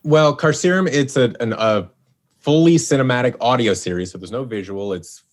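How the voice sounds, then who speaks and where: A man's voice: mid-range voice, normal speed. An American man from Seattle, talking casually as an interview guest. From Seattle, USA. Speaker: guest, voice actor and audio series producer. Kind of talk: casual chat.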